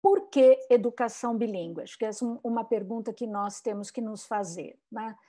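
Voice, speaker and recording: A woman's voice: a little high, brisk, warm tone. A woman giving an online talk on bilingual education, reflective and full of rhetorical questions and tag questions. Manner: frequent "né?" tags and restarts.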